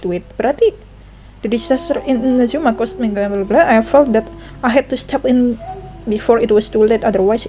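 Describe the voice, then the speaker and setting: A woman's voice: a little high, mellow, low-quality recording. A young Indonesian woman with an Indonesian accent, giving casual reaction commentary on a game, thinking aloud and chatting as she goes. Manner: chatty, thinks aloud, switches between languages. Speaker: gaming reaction vlogger.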